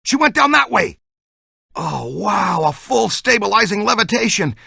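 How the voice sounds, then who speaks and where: Excitable, wildly animated ghost hunter. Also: male voice, sits high, measured pace, vocal fry, breathy edge, dry recording, clean studio sound, full character voice. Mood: excited. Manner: animated, exclamatory, jargon-laden. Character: excitable, eager ghost-hunting scientist barking team orders. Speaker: video game voice actor. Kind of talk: animated video game voice acting.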